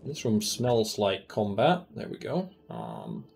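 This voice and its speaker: A man's voice: on the low side, wildly swooping pitch, takes it slowly, creaky, a little mellow, faint music behind. A man streaming a fantasy roleplaying game who thinks aloud in casual commentary, with many ums as he weighs loot and rules.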